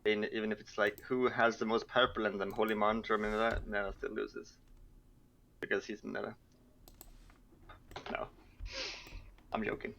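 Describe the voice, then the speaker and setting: A man's voice: fairly low pitch, little pitch movement, normal speed, gravelly, long pauses, a bit bright, low-quality recording. A man chatting casually on a development team livestream in a dry, teasing way. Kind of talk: casual joking chat. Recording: faint background noise.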